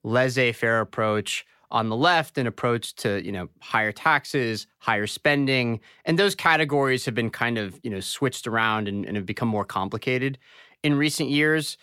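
A man's voice: mid-range voice, normal speed, pushed and bright, clear, resonant tone, dry recording, studio quality. A man hosting a podcast who asks thoughtful, conversational questions, often hedging as he talks. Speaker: podcast host. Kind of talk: interview questions.

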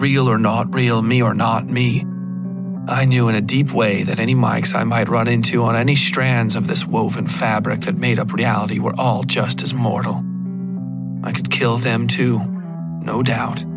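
Guy hosting a dark fiction podcast, reading a script, mid-range voice, loud music underneath. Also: mid-range voice. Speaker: podcast host, writer and narrator.

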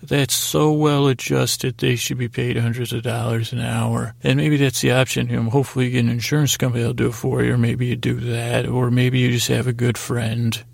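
A man hosting a bedtime podcast in a lulling, soothing, gravelly voice, meandering and halting with long pauses. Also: mid-range voice, flat delivery, dry recording. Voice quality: gravelly, creaky. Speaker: podcast host. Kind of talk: rambling bedtime podcast monologue.

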